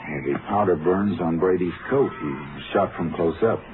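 A man playing a steady, terse Texas Ranger investigator in a 1950s radio crime drama. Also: low voice, normal speed, heavy background noise, lightly acted. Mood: matter-of-fact. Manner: terse, matter-of-fact. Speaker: lead actor and narrator. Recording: muffled 1950s radio recording with music.

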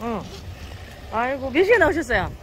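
A woman's voice: high-pitched, very animated, fairly quick, crowd noise. A woman chatting casually and exclaiming as she commentates a fishing vlog outdoors by the sea. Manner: exclamatory, often admiring the catch. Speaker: fishing vlogger. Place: outdoors on a windy seaside breakwater. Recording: noisy outdoor seaside recording.

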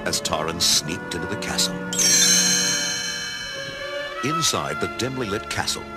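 A man's voice: low-pitched, animated, a little slow, loud music behind the voice. A man reading dramatic scripted narration for a children's storybook.

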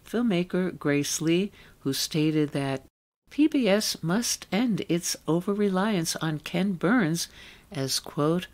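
A woman radio host presenting and interviewing in a prepared broadcast style. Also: deep voice.

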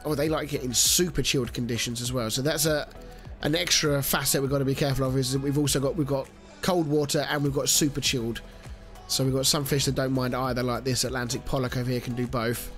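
A man in his thirties with a nasal, stuffy voice from a cold, rambling chattily through a let's-play with plenty of fillers. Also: medium pitch. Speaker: gaming channel host. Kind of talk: casual let's-play commentary.

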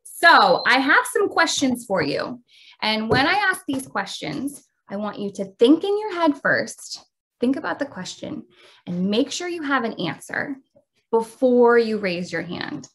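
A middle-aged woman with an American accent, explaining and reading aloud as a teacher of young children in an expressive way. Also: a little high, unhurried. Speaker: teacher demonstrating a read-aloud.

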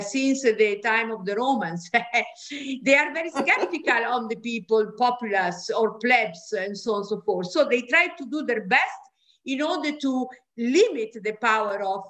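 Older woman academic on a video call panel, voice a bit high, halting, hunting for words. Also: a bit bright. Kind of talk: unscripted panel discussion over video call. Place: small room at home, indoors. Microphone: computer mic on a video call.

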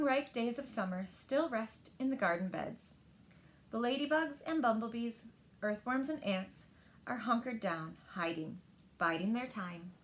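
A woman in her thirties reads picture books aloud to young children with expressive, deliberate phrasing, recorded indoors in a living room. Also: mid-range voice. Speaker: storyteller at a library story time.

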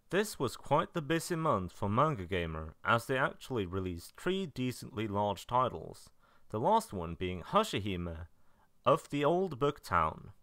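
A man narrating a semi-scripted review in a matter-of-fact way, with dry, deadpan asides. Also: mid-range voice, no room sound. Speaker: video narrator reviewing game releases.

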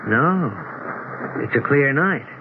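An actor playing a sober, open-minded skeptic in a 1970s radio drama, talking in a measured, plain way. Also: male voice, medium pitch, animated. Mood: calm, curious. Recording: muffled, hissy old radio broadcast.

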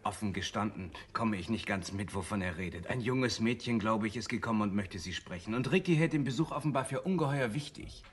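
A man's voice: on the low side, a little fast, few pauses, close to an everyday voice. An actor playing a polite, apologetic man bringing messages in a radio crime drama, courteous and a little wordy. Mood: apologetic, puzzled.

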